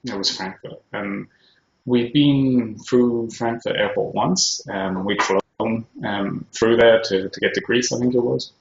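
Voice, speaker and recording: A man from Scotland with a British accent, talking casually and haltingly as the host of a video show, recorded in his kitchen. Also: mid-range voice, fairly even intonation, vocal fry. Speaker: host interviewing himself. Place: home kitchen. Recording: roomy, echoey, dull sound with faint noise.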